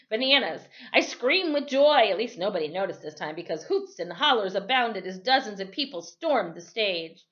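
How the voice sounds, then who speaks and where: A woman's voice: medium pitch, fairly bright tone. Woman reading a storybook aloud, slightly muffled. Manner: reads in the first person with dramatic emphasis, correcting slips. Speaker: teacher reading aloud to students. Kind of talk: expressive storybook narration.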